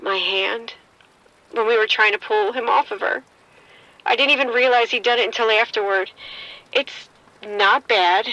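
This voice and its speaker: A woman playing a bright-voiced, frightened, bitten woman. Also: on the high side, no room sound, muffled recording. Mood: scared. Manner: shaken, halting, breathy fear. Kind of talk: audio drama acting.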